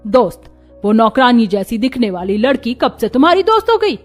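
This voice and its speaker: A woman's voice: a little high, big pitch swings, a little fast, faint music behind. A woman playing a haughty rich mother in a cartoon story, scolding and contemptuous. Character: haughty rich mother, scornful of poor people.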